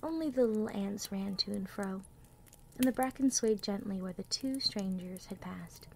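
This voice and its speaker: A woman narrating a children's storybook expressively, with dramatic delivery that often drops toward a hush. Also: mid-range voice, normal speed, vocal fry, a little hiss or hum, low-quality recording.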